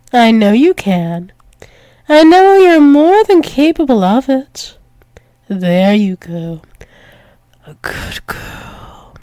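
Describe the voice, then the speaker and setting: A woman's voice: a little high, warm tone, no echo. A woman playing a dominant, doting female werewolf demon lover in an intimate ASMR roleplay, teasing and coaxing. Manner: teasing, coaxing, slow repeated praise, often dropping to whispers.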